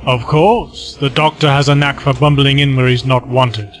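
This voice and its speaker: A man's voice: medium pitch, fairly even intonation, moderate pace, close to an everyday voice. Man acting a suave gloating villain over dramatic music. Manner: smooth, condescending, mock-courteous.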